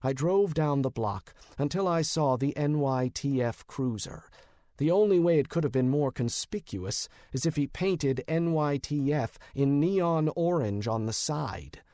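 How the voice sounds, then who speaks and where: A man narrating first-person fiction as an audiobook reader, with measured, dramatic storytelling. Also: fairly high pitch, expressive, dark tone, no echo, studio quality. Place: close, dry studio.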